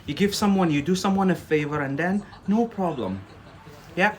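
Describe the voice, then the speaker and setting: A man's voice: high-pitched, moderate pace, darkish tone, slight background noise. A young man, not a native English speaker, teaching English on a live stream, chatting casually with viewers, often laughing and tagging on "yeah?".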